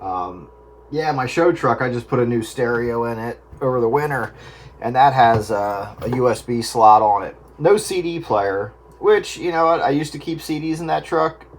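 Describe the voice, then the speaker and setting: A man's voice: mid-range voice, animated, normal speed. A man having a casual, unscripted chat over the radio.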